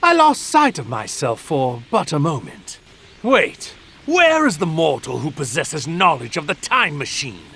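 A man's voice: fairly high pitch, big pitch swings, measured pace, sharp tone, slight background noise, exaggerated voice. A man playing an arrogant, contemptuous villain god in a video game, with pompous, theatrical, formal delivery. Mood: cold, imperious. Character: arrogant male god, grandiose, contemptuous villain bent on destruction. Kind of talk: dramatic video game voice acting. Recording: music under the voice. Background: music.

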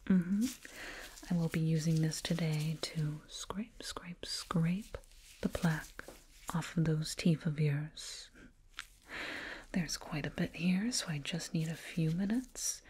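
Woman acting as female alien dentist, ASMR roleplay, breathy whisper, lowish pitch, very slow, soothing, condescending, repetitive, close binaural mic. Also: fairly even intonation, darkish tone, slight background noise. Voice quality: breathy, mostly unvoiced whisper. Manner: slow, soothing, repeats words rhythmically. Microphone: close binaural microphone.

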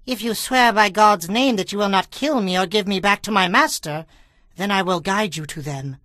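A man's voice: sits high, moderate pace, sharp tone, dry recording, clean studio sound. A man playing a wary captive Egyptian slave in a dramatized audio Bible. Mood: wary, bargaining.

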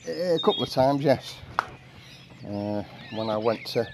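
Older english guy, monotone, chatting while washing his car outside, camera mic a few meters off, birds clearly audible. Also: mid-range voice, measured pace, background noise. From England. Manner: rambling, chatty storytelling with fillers. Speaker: vlogger. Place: outdoor carport of a house. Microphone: camera mic from a few meters away. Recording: outdoor camera audio with birdsong. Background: birds and rural outdoor ambience.

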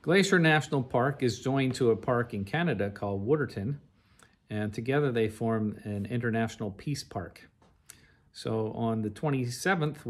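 A middle-aged man narrating a travel slide show in a conversational, orderly and detailed way. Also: on the low side. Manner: recounts in an orderly, chronological, detailed way.

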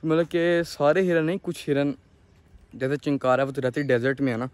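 A young man with a Pakistani accent, talking casually and haltingly to viewers as a farm-owning vlogger, outdoors on a phone. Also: a little high, darkish tone, no room sound. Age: young adult. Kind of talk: casual vlog tour, explaining to viewers. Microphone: handheld phone at arm's length.

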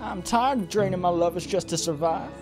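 A man's voice: sits high, fairly quick, creaky, voice pushed up. A man voicing a seductive female succubus from a visual novel, with a smug, mocking, theatrical delivery. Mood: coy, scheming. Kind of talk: reading visual novel dialogue aloud. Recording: music behind the voice.

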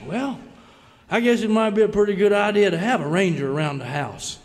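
An actor playing an easygoing, boyish cowboy sidekick with an aw-shucks, folksy delivery in a parody radio western. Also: male voice, high-pitched, a little fast, long reverb tail, close to an everyday voice. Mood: curious. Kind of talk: parody of old-time radio western serial.

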